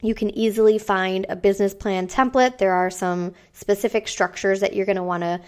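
A woman hosting a business podcast, talking casually with frequent ums and a voice made raspy by a cold. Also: medium pitch, steady tone, brisk, darkish tone. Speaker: podcast host. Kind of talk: solo business podcast talk.

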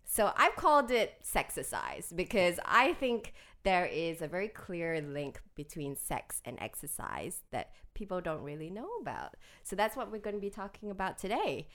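Female podcast host, low-mid register, bubbly, quick to laugh. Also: big pitch swings, fairly bright tone. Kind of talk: casual chat.

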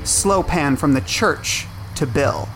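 A man reading scripted screenplay narration as a narrator, in a measured, atmospheric storytelling manner. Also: fairly high pitch, huge pitch swings, creaky, poor audio. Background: music.

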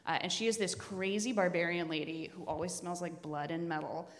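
A woman's voice: fairly low pitch, fairly even intonation, a little fast. A woman podcast host giving casual, enthusiastic book recommendations to a group, in a blunt and informal way. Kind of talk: casual chat before a live group. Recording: echoey hall with long reverb.

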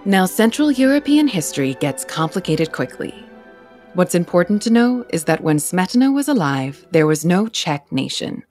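A woman hosting a podcast, narrating a scripted story in a clear, measured way. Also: on the low side, very animated, darkish tone, soft background music. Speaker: podcast host.

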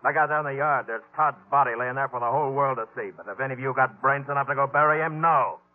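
An actor playing a bossy, menacing gang leader in a 1950s radio western, curt and scornful. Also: male voice, medium pitch, quick pace, close to an everyday voice. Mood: scornful, irritated. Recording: muffled old 1950s radio recording.

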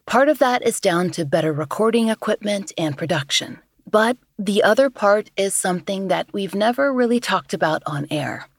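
A woman with a deeper, lower voice, hosting a podcast and giving calm, measured scripted narration. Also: dry recording, studio quality. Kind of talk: scripted true-crime narration.

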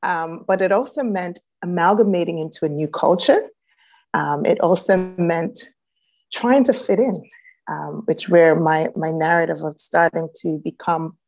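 Interview guest: woman with a faint Jamaican lilt, lower pitch, thoughtful and unhurried. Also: gravelly, low-quality recording. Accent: light Jamaican. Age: adult, a grown child. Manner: reflective, many "um"s, warm laughs. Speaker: guest, reboot coach. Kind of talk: personal storytelling in interview.

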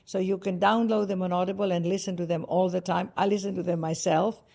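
A woman from Greece with a Greek accent, a spiritual author speaking in a warm, effusive and emphatic way as a podcast guest. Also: lowish voice, steady tone, a little fast, creaky, husky. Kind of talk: heartfelt interview talk. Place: Cartagena, Colombia.

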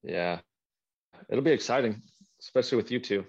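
A man's voice: mid-range voice, moderate pace, gravelly, breathy edge, lo-fi sound. A man who hunts coyotes, a guest on a podcast, talking in a laid-back, plain-spoken way. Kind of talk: casual hunting chat.

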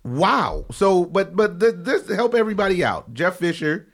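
A man with a head cold hosts a laid-back sports show, his voice pitched a little high. He riffs emphatically, stacking up words for effect. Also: normal speed. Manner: animated, emphatic riffing. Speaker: podcast host. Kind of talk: casual sports talk. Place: studio in Los Angeles.

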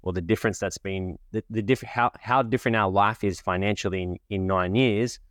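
A very deep-voiced man talks in a loose, rambling monologue as a podcast host. He has a cold and a slight cough, and his voice sits dry and close, with no room echo. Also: fairly even intonation, a little fast, fairly bright tone. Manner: rambling, conversational. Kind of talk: casual monologue.

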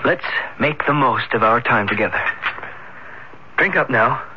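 An actor in an old radio drama playing a genial, worldly friend, coaxing and concerned, with some hesitant repetition. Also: male voice, mid-range voice, animated, measured pace, a little hiss or hum, natural voice. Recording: dull vintage radio broadcast.

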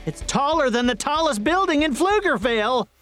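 An excitable cartoon sidekick with a strikingly high, animated voice. He narrates like a dramatic wrestling announcer, milking every line. Music plays clearly behind him. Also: moderate pace. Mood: excited showman. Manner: theatrical, dramatic, exclamatory. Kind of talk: exaggerated play-by-play sports commentary and comic narration.

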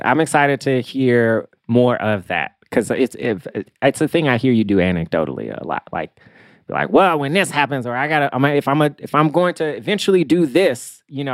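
A man's voice: mid-range voice, no room sound. American man podcaster, close dry sound. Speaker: podcast co-host. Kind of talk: casual conversational podcast chat.

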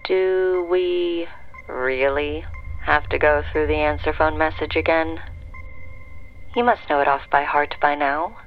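A woman's voice: low-pitched, phone-quality sound, faint music behind. An actress playing an eerie, teasing woman who speaks as a secret agency's answering machine in an audio drama. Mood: weary, ominous. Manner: slow, taunting, breaks into singsong.

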